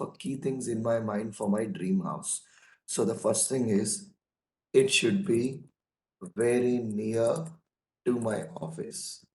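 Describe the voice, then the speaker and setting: A hoarse man with a cold, teaching a casual online lesson on software testing, calling his listeners "guys" and repeating his points. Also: medium pitch, roomy sound, low-quality recording. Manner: slow and repetitive, addresses listeners as "guys".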